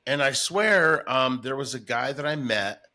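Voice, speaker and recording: A man's voice: mid-range voice, expressive, unhurried, sharp tone. A man hosting a casual podcast chat, with the ease of a voiceover performer.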